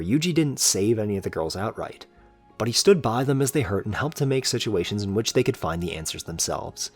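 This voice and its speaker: A man's voice: lowish voice, husky. A man reading scripted, analytical video essay narration in an earnest, emphatic and articulate way.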